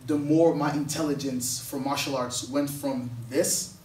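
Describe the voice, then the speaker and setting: A man's voice: medium pitch, steady tone, a little slow, darkish tone. Young man, echoey stage. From Portugal. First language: Portuguese. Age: young adult. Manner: earnest, rambling, with many fillers and restarts. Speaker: guest speaker. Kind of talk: personal story told to an audience. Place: on stage in a hall. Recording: echoey room, distant mic.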